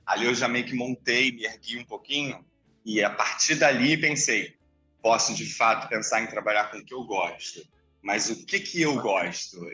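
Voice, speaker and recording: A man in his thirties from Rio de Janeiro with a Carioca accent, chatting reflectively as an interview guest over a video call. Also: mid-range voice. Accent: Carioca Brazilian Portuguese, slightly softened. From Rio de Janeiro, Brazil. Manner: chatty, reflective, animated asides. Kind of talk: relaxed conversational storytelling. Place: apartment indoors. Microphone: headphones with mic on a video call.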